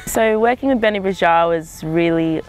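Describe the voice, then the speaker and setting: A young woman from Zimbabwe, a singer-songwriter, speaking reflectively in an interview, often pausing with "um" and "sort of". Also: on the low side, soft background music, low-quality recording. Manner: thoughtful, hedging with frequent "um" and "sort of".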